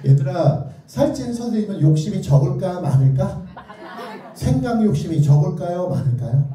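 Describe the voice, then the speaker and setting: A man's voice: sits high, big pitch swings, moderate pace, mellow. A man giving an interactive lecture, playfully and theatrically prompting his listeners with either-or questions. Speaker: children's author giving a lecture. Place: echoey indoor lecture room. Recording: very echoey hall, distant mic.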